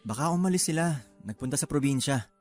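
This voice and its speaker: A performer playing a calm, reasoning young husband in a radio drama. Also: mid-range voice, fast talker, soft background music, lightly acted. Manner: soothing, reasoning, dismissive. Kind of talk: Tagalog radio drama acting.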